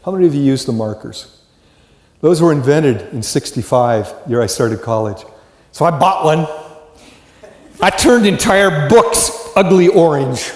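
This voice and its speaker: An American man in his sixties or older giving a live lecture to students, telling stories and asking rhetorical questions. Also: on the high side. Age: 60s or older. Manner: animated storytelling, rhetorical questions, acts out brief dialogues. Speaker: college psychology lecturer. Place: large lecture hall with reverb. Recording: echoey hall, reverberant live lecture.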